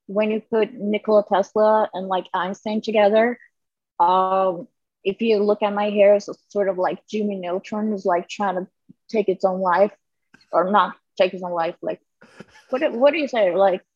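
A woman guest answering interview questions in a playful, deadpan way with many fillers. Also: medium pitch, a little slow, no echo, highs cut off.

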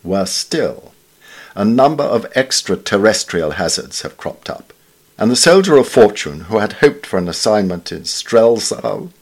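A British man reading a scripted literary essay in a formal, measured, wryly understated way. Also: fairly low pitch, sing-song. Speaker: reader of newspaper essays.

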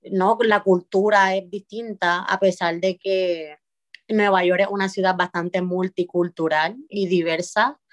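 A woman from Puerto Rico with a Puerto Rican Spanish accent, a guest on a podcast, talking in a reflective way with pauses and restarts. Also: on the low side, steady tone, no echo. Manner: thoughtful, with frequent pauses and restarts. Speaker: podcast guest, parent coach. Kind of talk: reflective conversational interview.

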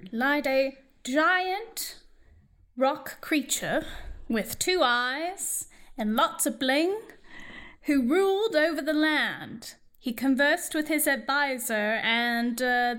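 A woman's voice: sits high, a bit bright. A woman narrating a comedic radio play in a storytelling style.

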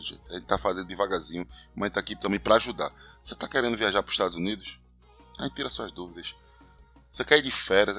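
Young man, Recife accent, bright tone, chatty co-host, recorded in a living room. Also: low voice. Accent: Pernambuco (Recife). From Recife, northeast Brazil. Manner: chatty, often tags "entendeu?". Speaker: podcast co-host. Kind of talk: casual podcast chat.

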